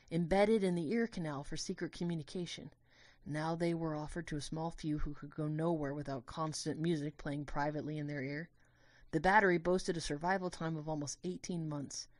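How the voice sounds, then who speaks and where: A woman giving a dramatic first-person narration of a scripted story, in a measured, literary and expressive way. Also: sits low, no room sound.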